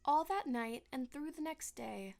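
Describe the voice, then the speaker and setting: A woman narrating a storybook in rhyming verse with expressive, theatrical storytelling. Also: high-pitched, a little slow, vocal fry, a crowd in the background.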